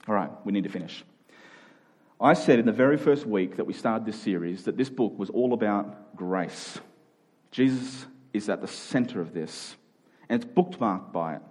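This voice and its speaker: A man's voice: medium pitch, a little mellow. A man speaking as a pastor, giving an earnest sermon to a congregation in a conversational, informal way.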